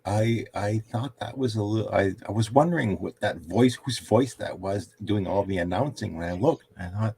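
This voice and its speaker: A man talking on a casual podcast, halting and restarting his sentences. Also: fairly low pitch, creaky, dark tone, slight background noise.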